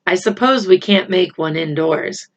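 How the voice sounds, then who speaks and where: A woman's voice: fairly low pitch, a little slow. A woman voicing an earnest, hopeful schoolboy who guards a secret, in a storybook reading. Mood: eager. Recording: slightly dull, lo-fi home recording.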